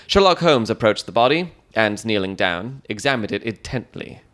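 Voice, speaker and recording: A man narrates a Victorian mystery audiobook in a fairly low voice. His delivery is scripted and dramatic, with measured, descriptive storytelling. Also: expressive, fairly bright tone.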